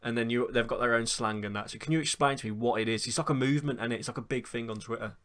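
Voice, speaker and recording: Fast-talking man in his twenties. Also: medium pitch, a bit bright. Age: 20s. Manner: chatty, quick, swears casually. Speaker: podcast host. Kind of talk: casual football chat.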